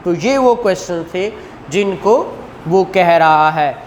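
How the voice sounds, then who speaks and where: A woman gives a law lecture at an unhurried pace. She reads formal English clauses and glosses them in Urdu in an explanatory way. The room recording carries a light noise. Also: fairly low pitch, a little mellow. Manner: explanatory, switches between English legal text and Urdu glosses. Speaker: law teacher. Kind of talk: classroom lecture, reading statute and explaining it.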